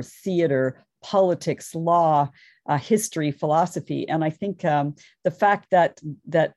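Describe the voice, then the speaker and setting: A woman's voice: lowish voice, warm tone, no room sound, clean studio sound. A woman scholar giving a conference presentation, earnest and enthusiastic, thinking aloud as she goes. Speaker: academic panelist.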